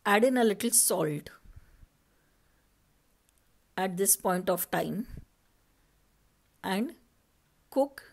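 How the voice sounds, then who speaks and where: A woman's voice: medium pitch, darkish tone. A woman from India, narrating a recipe step by step as a cooking channel host over her footage. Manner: slow, step-by-step instructing.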